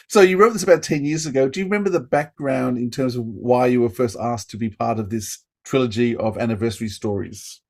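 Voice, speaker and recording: A man's voice: medium pitch, fairly quick, a little mellow. A man hosting a podcast, talking casually as a fan and interviewer. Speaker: podcast host.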